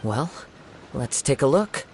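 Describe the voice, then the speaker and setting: A man voicing a calm, observant young wandering samurai in a video game, speaking in a measured, thoughtful, formal way. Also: mid-range voice, a little hiss or hum. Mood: calm, grave.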